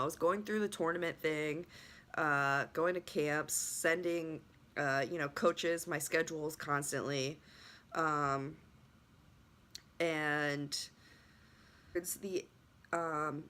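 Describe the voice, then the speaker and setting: A woman's voice: low voice, pushed and bright. A young adult woman telling her own story in a casual vlog, rambling with many restarts, her voice still not fully back after being sick. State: voice still recovering from illness. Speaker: lacrosse goalie trainer.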